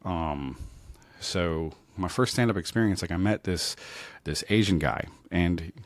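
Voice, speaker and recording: A man's voice: deep voice, a little slow, no room sound. A man talking casually and telling personal stories in a rambling way, often repeating his phrases. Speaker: podcast host and beginner stand-up comic.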